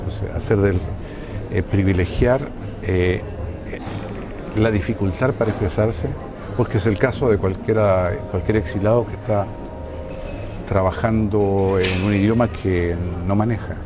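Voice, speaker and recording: An older man from Chile with a Chilean accent, answering interview questions in a hesitant way and often pausing to search for words. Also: fairly low pitch, steady tone, over music. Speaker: interviewee, filmmaker. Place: outdoor balcony above a city. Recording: outdoor city noise behind.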